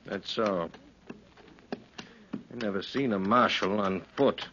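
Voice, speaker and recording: Laconic western stranger, sharp folksy drawl, dry and curious, old radio show w/ faint noise. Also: male voice, fairly low pitch, takes it slowly. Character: laconic, curious drifter on a worn-out horse. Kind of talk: 1950s radio western acting. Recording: dull, noisy vintage radio recording.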